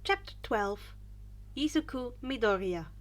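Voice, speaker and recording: A woman's voice: a little high, expressive, a little slow. A woman narrating fan fiction as an amateur audiobook reader, reading from a script with the odd stumble.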